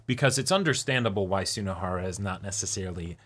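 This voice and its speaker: A man's voice: low-pitched, animated, quick pace, fairly bright tone. A man co-hosting a discussion show, explaining his points casually and analytically, often checking in with his listener. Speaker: co-host of an anime reaction and discussion show.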